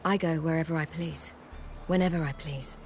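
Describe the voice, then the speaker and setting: A woman's voice: low voice, fairly even intonation, normal speed. An actress playing a free-spirited, self-assured young woman in a video game, speaking frankly and thoughtfully. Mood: confident, frank. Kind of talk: video game dialogue acting. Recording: music playing behind the voice.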